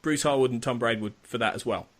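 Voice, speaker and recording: A man hosting a podcast interview, eager and quick to laugh, often restarting his sentences. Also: mid-range voice. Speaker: podcast host.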